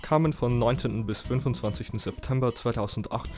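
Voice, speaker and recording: A man narrates a report from a script. His pitch sits in the middle for a male voice, and loud music plays along behind him. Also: fairly quick.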